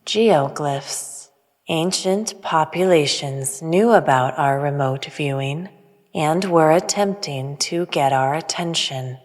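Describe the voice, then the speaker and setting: A woman plays an aloof emissary of an ancient hidden race in a science fiction audio drama. Her low voice moves slowly and formally, calm and detached, in a long reverberant space. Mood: solemn. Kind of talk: sci-fi audio drama acting.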